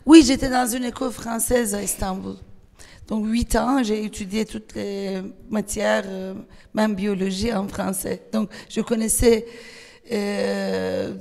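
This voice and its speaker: A woman with a Turkish accent, a native Turkish speaker, speaking at a festival panel, hesitant, with many fillers and restarts, animated when she tells a story. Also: mid-range voice, gravelly. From Turkey. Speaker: panel guest, writer. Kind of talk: panel discussion. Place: festival hall. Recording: long hall reverb.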